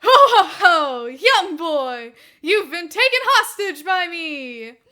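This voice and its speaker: A woman's voice: very animated, slow, bright, forceful tone, exaggerated voice. A woman voicing a bombastic skinny man who plays a theatrical, maniacal prank villain in a story reading. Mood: gleeful, maniacal. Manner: theatrical, maniacal, booming delivery. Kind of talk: fan fiction audiobook character voice.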